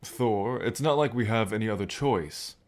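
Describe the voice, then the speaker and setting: A man's voice: fairly low pitch, moderate pace, close to an everyday voice. A man acting in a fantasy audio roleplay as a level-headed, amused god with a dry, sardonic delivery.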